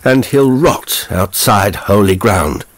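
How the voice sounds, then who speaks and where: A man's voice: fairly low pitch, expressive, slow. A man voicing a cruel, gleeful, debauched nobleman in a horror story, with mocking, flamboyant declamation. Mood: vindictive.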